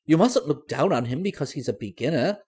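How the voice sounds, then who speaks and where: Male reader as middle-aged lady, raised pitch, proud, earnest, emotional, confiding, storybook character. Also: animated, a little fast, darkish tone, slightly rough. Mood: pleading, proud. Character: proud, emotional middle-aged mother, former vaudeville performer.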